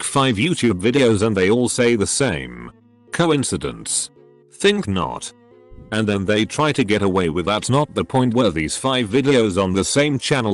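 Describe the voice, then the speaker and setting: A man narrating, reading online posts aloud in an even, matter-of-fact way over faint background music. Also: lowish voice.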